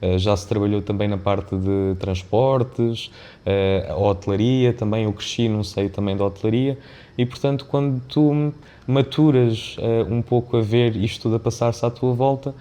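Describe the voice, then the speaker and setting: A man's voice: lowish voice, fairly even intonation, normal speed, warm tone. A man with a European Portuguese accent speaking reflectively and discursively as an interview guest, often checking in with a tag question. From born in Mozambique, of Indian family background. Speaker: podcast guest, business founder and CEO.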